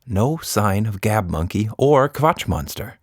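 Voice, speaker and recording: A man hosting and narrating a children's story podcast in a gently humorous way. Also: lowish voice, very animated, takes it slowly, no room sound, studio quality.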